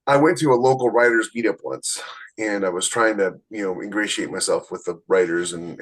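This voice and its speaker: A man with a fairly low-pitched voice talks as a podcast host. He explains things in a conversational, unscripted way and often turns straight to the listener. The delivery is that of casual talk drawn from his own experience. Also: low-quality recording. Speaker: podcast host, self-published author.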